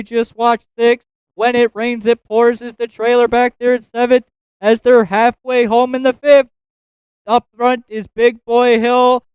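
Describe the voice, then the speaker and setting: A man working as a track announcer, calling a live harness race with rapid, rhythmic listing of positions and times. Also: very high voice, clean tone, no room sound, poor audio.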